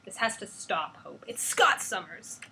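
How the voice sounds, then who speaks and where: A stern male team leader lectures firmly, sounding protective and authoritative, as a woman reads his lines aloud. The voice stays close to the reader's own, just a bit louder. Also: lowish voice, big pitch swings, unhurried, creaky, a little hiss or hum, full character voice.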